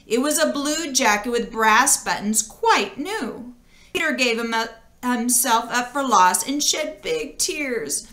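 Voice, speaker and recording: A woman reading a children's story aloud expressively, with deliberate phrasing and dramatic emphasis, in an echoey room. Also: fairly high pitch, expressive, a bit bright. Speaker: storybook reader. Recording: echoey, roomy sound.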